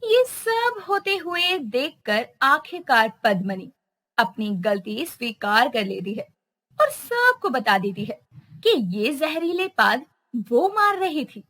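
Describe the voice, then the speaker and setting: Casting: woman storyteller, expressive, slow and bright. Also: high voice. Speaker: narrator. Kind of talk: storytelling narration.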